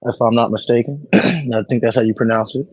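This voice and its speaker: A man's voice: medium pitch, fairly quick, darkish tone, husky, dry recording, rough recording. A man hosting a casual album review chat, rambling with frequent ums and hesitations. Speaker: podcast host.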